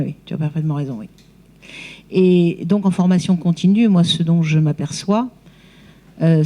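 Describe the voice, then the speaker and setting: A woman's voice: low voice, dark tone. A woman who works as a teacher trainer, speaking spontaneously in a seminar debate and explaining with emphatic repetition.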